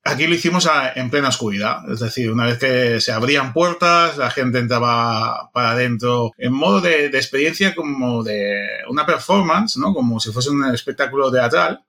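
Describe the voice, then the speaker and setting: A man with a bright, forceful voice and an accent from Spain, speaking casually as a guest. Their delivery is halting, with words doubled while searching for a phrase. The pitch sits in a middle male range. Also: animated. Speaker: podcast guest, audio drama writer-director. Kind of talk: casual talk recounting an event.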